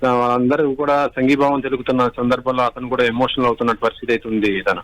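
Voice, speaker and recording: A man giving a live unscripted news report, halting and repeating himself as he goes. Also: medium pitch, level tone, fairly bright tone, rough recording. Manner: halting, repetitive, restarts words.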